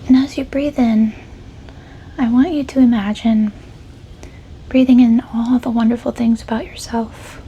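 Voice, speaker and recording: A woman guides a meditation in a soft ASMR style, her voice fairly high and mellow. She speaks very slowly and soothingly, breathy and often close to whispering. A light layer of ambient noise sits behind her. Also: low-quality recording. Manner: gentle, unhurried, soothing instruction.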